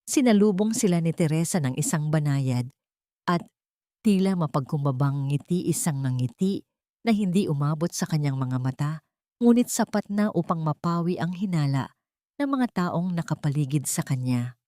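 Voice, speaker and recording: A woman's voice: sits low, animated, normal speed, warm tone, no room sound, clean studio sound. A woman narrator reading dramatic fiction as scripted storytelling.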